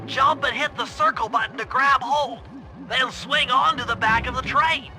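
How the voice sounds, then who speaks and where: An actor playing a nerdy, careful young turtle gadget genius in a video game, nasal and precise. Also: male voice, very high-pitched, moderate pace, full character voice. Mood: confident. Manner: precise, earnest, bookish briefing. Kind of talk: animated video game cutscene acting. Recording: loud music under the voice. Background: game music.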